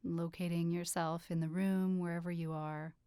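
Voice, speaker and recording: Low, smooth-voiced woman guiding meditation. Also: little pitch movement, no room sound. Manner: soothing, unhurried, gentle instructions with pauses. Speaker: meditation guide. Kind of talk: guided meditation, yoga nidra.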